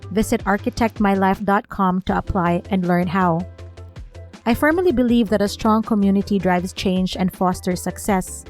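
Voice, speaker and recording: A woman hosts a podcast in a measured, read-aloud manner. Her voice sits at an ordinary middle pitch, with soft music playing faintly behind her. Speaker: podcast host. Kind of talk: scripted intro and outro, interview questions.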